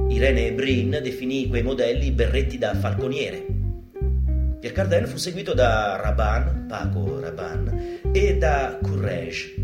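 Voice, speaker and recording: Roomy-sounding man performing a deadpan stage monologue. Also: medium pitch. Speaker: stage performer in a live radio theatre show. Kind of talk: theatrical monologue, mock-instructional lecture to an audience. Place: live performance space, roomy. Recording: roomy live stage recording with guitar music. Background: live guitar music.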